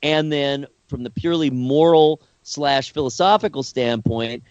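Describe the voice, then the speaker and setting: A man co-hosting a talk podcast, speaking earnestly and haltingly, often repeating words as he works out his point. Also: mid-range voice, a little mellow, no room sound, muffled recording. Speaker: podcast co-host. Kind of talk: casual discussion.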